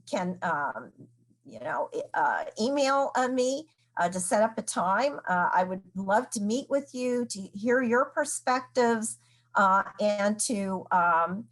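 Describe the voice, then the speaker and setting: A woman delivers a formal address as a university administrator, pausing often with "uh" between phrases. Her delivery is halting and measured. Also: mid-range voice. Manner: halting, many "uh" fillers.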